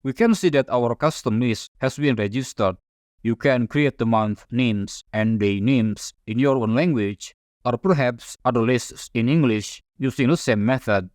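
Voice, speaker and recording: A man's voice: mid-range voice, a little slow, no room sound. Indonesian man explaining a spreadsheet, dry sound. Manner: calm, methodical step-by-step explaining. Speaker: software tutorial instructor. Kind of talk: scripted screen-recording tutorial narration.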